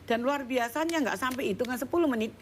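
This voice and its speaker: A woman's voice: high voice, quick pace, slight background noise. A woman doctor appearing as a guest on a television health talk show, explaining treatments in a chatty way with casual fillers. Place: TV studio.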